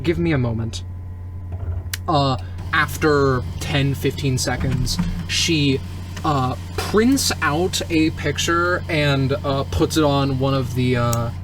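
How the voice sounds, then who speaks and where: A man's voice: mid-range voice, slow, noisy background. A man casually improvising narration as a player in a tabletop roleplaying game.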